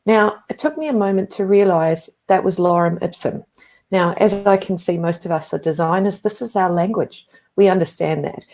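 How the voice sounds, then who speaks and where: A woman's voice: fairly low pitch, normal speed, darkish tone. A woman with a New Zealand accent giving a conference talk, telling stories in a conversational way with frequent asides. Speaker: conference speaker.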